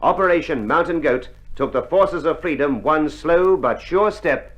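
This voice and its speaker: A man giving formal, measured, scripted narration in a 1960s documentary style. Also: on the high side, expressive, noisy background.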